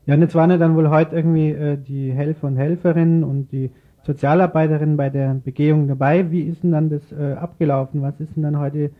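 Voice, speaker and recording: Higher-voiced man interviewing. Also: steady tone, fairly quick, phone-quality sound. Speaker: radio interviewer. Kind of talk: news interview, partly scripted and read.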